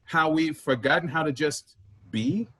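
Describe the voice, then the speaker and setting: A man acting in a staged play reading as an earnest, wistful man searching for his vanished sibling, with a husky voice. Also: fairly high pitch, a little slow, bright, forceful tone. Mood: wistful, reflective.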